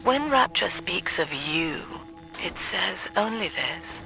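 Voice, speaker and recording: A cold British woman leading a cult in a video game. She preaches slowly and formally with clinical, lofty authority, with music running clearly behind her. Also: lowish voice, very animated, full character voice, rough recording. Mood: icy, menacing. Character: cold, lofty middle-aged female cult leader and psychiatrist. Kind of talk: scripted video game villain monologue.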